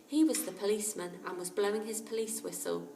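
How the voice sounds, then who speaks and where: A woman reading a children's story aloud in an expressive, animated narrator's style. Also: medium pitch, brisk, music in the background. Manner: animated character voices and exclamations. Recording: roomy echo, distant microphone.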